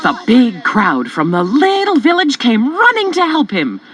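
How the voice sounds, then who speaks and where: Highly animated children's story narrator. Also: unhurried. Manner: expressive, theatrical. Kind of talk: scripted storybook narration, rhyming in places. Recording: music behind, from cassette tape.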